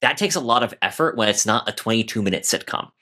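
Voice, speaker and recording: A man speaks in a fairly low, notably bright and forceful voice. He is an author guesting on a podcast, explaining his craft in a casual, conversational way. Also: expressive, fast talker. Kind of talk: casual podcast interview about writing.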